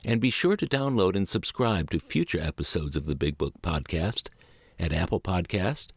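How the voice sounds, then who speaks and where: A mature man with a deep, low-pitched voice reads as an audiobook narrator. His phrasing is measured and deliberate. The sound is dry, with no room echo. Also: animated, slightly rough. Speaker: audiobook narrator and podcast host.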